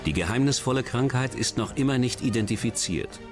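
A man's voice: medium pitch, lively intonation, brisk. A man reading scripted narration as a documentary narrator. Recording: loud music bed under voice. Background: music.

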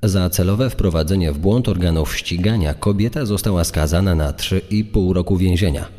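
A man's voice: deep voice, moderate pace, warm tone, in a large hall. A man reading scripted true-crime narration for a podcast.

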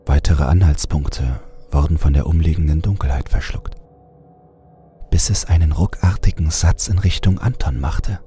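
A man reading a scripted horror story as narrator, measured and suspenseful. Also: rumbling low, dark tone. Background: music.

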